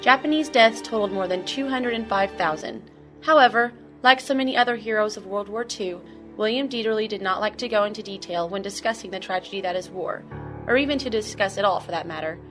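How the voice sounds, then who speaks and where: A woman's voice: mid-range voice, fairly quick, music in the background. A woman narrating a documentary from a script.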